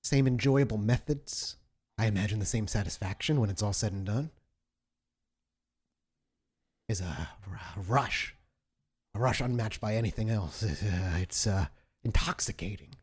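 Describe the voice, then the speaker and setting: A man playing an obsessed, halting young woman killer, low-pitched. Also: darkish tone, breathy edge. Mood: fixated, intoxicated. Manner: halting, repeats words, insistent. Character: obsessed young female copycat killer, defiant, evasive. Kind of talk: improvised tabletop role-play dialogue.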